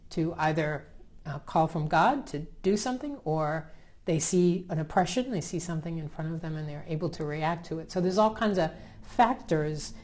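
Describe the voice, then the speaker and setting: Reflective woman host, very mellow, lowish voice. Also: normal speed. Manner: affirming, reflective. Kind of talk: reflective conversational interview.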